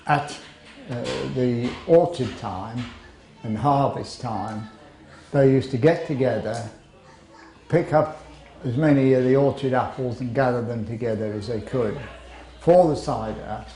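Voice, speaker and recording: An older man from Jersey tells his memories in a rambling, reflective way. His voice is mellow and slow, and the room gives it a noticeable echo. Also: medium pitch. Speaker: interviewee. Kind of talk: reminiscing.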